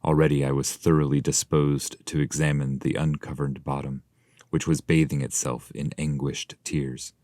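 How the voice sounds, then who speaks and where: A man reading a scripted literary text aloud in a measured, formal delivery. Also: rumbling low, little pitch movement, darkish tone, no room sound, studio quality. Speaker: translator and reader.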